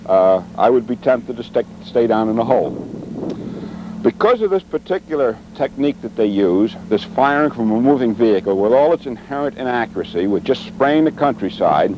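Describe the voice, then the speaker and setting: A man with a mid-pitched voice lectures like a military training instructor. He explains things in a measured, matter-of-fact way, in short deliberate phrases. The sound is that of an old training film shot outdoors, with clear noise behind him. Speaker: weapons instructor and presenter. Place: outdoors at a weapons demonstration.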